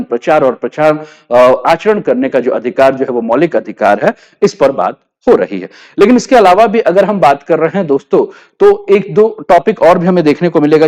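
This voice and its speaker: Male teacher, Indian accent, somewhat high pitch, didactic lecture delivery, repetitive rephrasing, frequent filler tags. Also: a little mellow. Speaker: teacher of current affairs for exam students. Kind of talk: explanatory lecture in Hindi with English terms.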